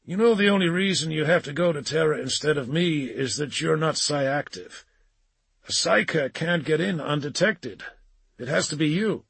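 A man's voice: fairly high pitch, moderate pace, creaky, fairly bright tone, breathy edge, no echo, voice pushed up, full character voice. A man voicing a breezy, blunt and persuasive male psychic agent in an audiobook. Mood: urgent, blunt. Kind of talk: audiobook character dialogue.

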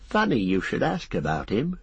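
A man's voice: medium pitch, huge pitch swings, normal speed, vocal fry, husky, dry recording, full character voice. A man voicing a folksy middle-aged country innkeeper in a storybook reading, rambling and confiding in rustic speech. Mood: confiding, gossipy.

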